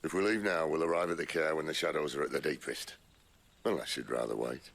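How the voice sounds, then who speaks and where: A middle-aged man playing a wry, seasoned outlaw leader in a video game, gravelly and measured. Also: very deep voice, a bit bright, full character voice. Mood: dry, casual. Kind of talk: dramatic video game voice acting. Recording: music under the voice.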